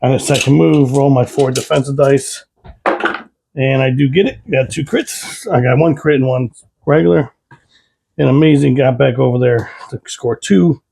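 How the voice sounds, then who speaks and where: Guy with a gravelly, kinda hoarse voice running through his game turn, dark tone. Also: mid-range voice, normal speed, a little hiss or hum. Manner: calls out moves and dice rolls plainly. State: losing his voice. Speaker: host. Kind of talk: casual tabletop game commentary.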